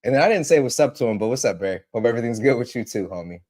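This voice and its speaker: A man's voice: mid-range voice, lively intonation, racing, darkish tone. A man hosting a casual tech livestream, a little congested from allergies, repeating himself and greeting viewers by name. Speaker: livestream host.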